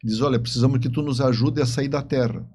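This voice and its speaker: Southern Brazilian man, mellow, halting storyteller. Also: medium pitch, steady tone, a little hiss or hum. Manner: halting, repeats words as he tells stories. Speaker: interview guest, writer. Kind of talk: conversational storytelling.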